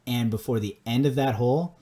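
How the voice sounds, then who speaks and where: A man's voice: mid-range voice, brisk. A man with a Minnesota accent co-hosting a podcast, chatting casually and telling stories with many restarts. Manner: relaxed, rambling storyteller with many restarts. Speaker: podcast co-host. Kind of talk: casual chat.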